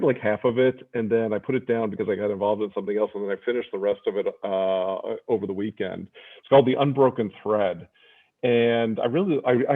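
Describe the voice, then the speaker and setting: A male radio show host asking questions in a rambling, self-correcting way. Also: lowish voice. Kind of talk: casual interview. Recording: tinny phone-like line.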